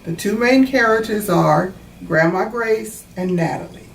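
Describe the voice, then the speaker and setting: A woman reads and explains a storybook for children in a teacherly manner. Her pace is slow and deliberate, and the recording is roomy and a little distant, with faint noise behind her. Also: medium pitch, creaky. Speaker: author reading own book.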